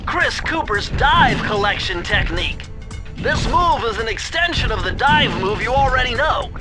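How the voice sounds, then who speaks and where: A fussy, high nerdy sidekick. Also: male voice, expressive, moderate pace. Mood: explaining. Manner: fussy, wordy, know-it-all. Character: nerdy brainy male turtle sidekick, fussy. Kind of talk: video game cutscene acting. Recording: game audio with music behind.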